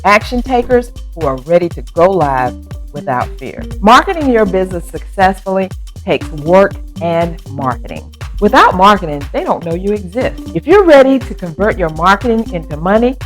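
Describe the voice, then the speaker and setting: A woman reading a persuasive scripted promo, her voice sitting slightly low and swinging lively up and down. Faint music plays underneath. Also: normal speed. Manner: persuasive sales pitch. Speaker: show promo announcer.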